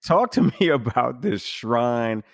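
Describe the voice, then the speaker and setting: A man's voice: mid-range voice, sing-song, takes it slowly, creaky, no echo, clean studio sound. A man hosting a podcast in casual chat, rambling with many fillers and restarts as he reads and reacts. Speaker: podcast host.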